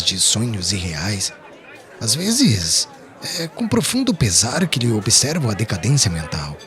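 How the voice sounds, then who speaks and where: Man playing an earnest, deep, expressive older merchant. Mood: regretful. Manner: earnest, formal, loquacious. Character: talkative, respectable older merchant worried about his brother. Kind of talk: dramatized audiobook dialogue.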